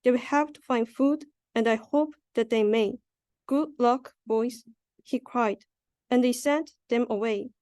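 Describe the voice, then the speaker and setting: A woman's voice: a little high, a little slow, a little mellow, no room sound. A young adult woman, a student, reading a rhyming children's story aloud. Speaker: student reader.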